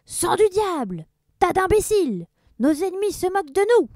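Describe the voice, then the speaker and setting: A woman voicing a cruel male pirate captain in a children's story, shouting insults at his crew. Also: on the low side, animated, normal speed, dry recording, studio quality, close to an everyday voice. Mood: bellowing.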